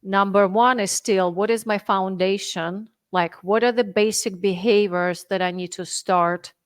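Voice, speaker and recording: A woman's voice: medium pitch, steady tone, measured pace, dry recording. A woman from Russia in her thirties, speaking English as a second language with a Russian accent, earnestly explaining her coaching steps in a podcast interview. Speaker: health and fitness coach guest. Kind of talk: conversational interview.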